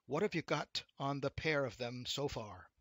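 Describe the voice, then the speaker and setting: A man's voice: mid-range voice, expressive, normal speed, a bit bright, no echo, clean studio sound. A man voicing a testy, curt and commanding devil who rules hell in a storybook tale.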